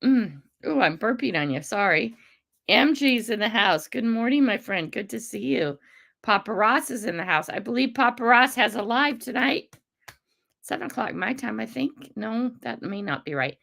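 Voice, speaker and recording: An older American woman hosting a casual livestream, greeting viewers by name and rambling along, her voice rough and congested from a cold. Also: on the high side, lively intonation, moderate pace. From United States. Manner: chatty, rambling, warm greetings to commenters. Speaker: livestream host.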